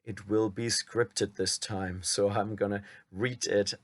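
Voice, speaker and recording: German man reading a script, low voice. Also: little pitch movement, slow. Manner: admits his reading sounds wooden. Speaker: channel host.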